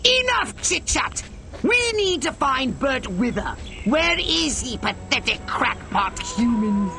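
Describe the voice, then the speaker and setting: Wildly swooping, pompous alien commander. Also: male voice, very high voice, sing-song, takes it slowly, gravelly, over music, full character voice. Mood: impatient, haughty. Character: imperious, scheming male alien commander, scornful of humans. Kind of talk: video game voice acting.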